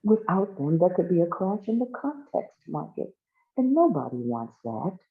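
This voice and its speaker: A woman's voice: fairly low pitch, very animated, moderate pace, reverberant, voice pushed up. A woman voicing a grand, indulgent male governor in a story, with a pompous, avuncular manner. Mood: grandly declaiming. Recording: thin phone-like band-limited sound.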